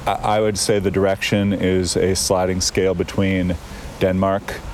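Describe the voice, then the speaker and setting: Deep-voiced male guest, rambling storyteller recorded outdoors, faint traffic behind him. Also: completely flat delivery, normal speed. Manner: thoughtful, digressive storyteller with frequent fillers. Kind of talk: casual conversation. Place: outdoors in a town.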